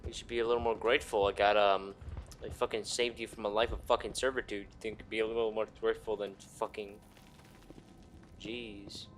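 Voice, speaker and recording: A man's voice: lowish voice, little pitch movement, fairly quick, fairly bright tone, slight background noise. A man doing casual let's play commentary, profane and sarcastic with mock indignation. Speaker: gaming video player and commentator. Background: game music.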